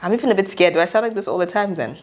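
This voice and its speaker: A woman co-hosting a faith podcast, a little congested from a cold, reacting expressively in casual chat. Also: medium pitch. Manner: expressive, quick to react with laughter and exclamations. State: congested, slightly nasal from a cold. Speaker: podcast co-host.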